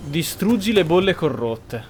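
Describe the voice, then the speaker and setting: A man gives let's play commentary as host of a gaming channel. His voice is fairly high. Faint game ambience can be heard behind him. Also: big pitch swings, normal speed, background noise. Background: game sound.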